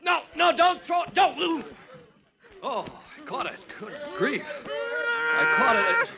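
A man's voice: very high voice, slow pace, gravelly, raised voice, a loud crowd, full character voice. An American actor playing an earnest, stammering young rookie fireman in an old-time radio drama. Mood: flustered, urgent. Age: young adult. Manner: stammering, excitable. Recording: muffled, noisy old radio recording.

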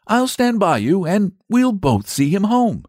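A man voicing a gallant storybook saint who speaks with brisk, kindly authority. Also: sits high, very animated, a little mellow, no room sound, clean studio sound, pitched above the performer's own voice, heavily acted. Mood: kindly, soothing. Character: kindly, firm, gallant male saint and knight.

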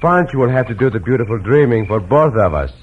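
An actor playing a gallant, courteous cowboy hero in an old radio western, with a formal, polite way of talking. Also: male voice, medium pitch, lively intonation, fast talker, loud music behind the voice, close to an everyday voice. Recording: noisy, muffled old radio recording.

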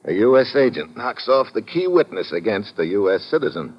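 A tough American government agent in an old radio thriller. His low voice is animated and clipped, full of wisecracking slang. The sound is muffled and noisy. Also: fairly quick. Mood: reasoning. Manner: hard-boiled, slangy, clipped. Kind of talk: old-time radio detective acting and narration.

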